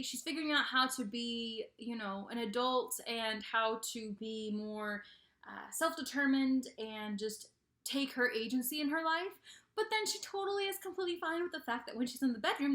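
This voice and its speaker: A woman's voice: a little high, bright, forceful tone. A woman talking casually in a book review vlog, emphatic and chatty, often repeating phrases for emphasis. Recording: roomy, echoey indoor recording.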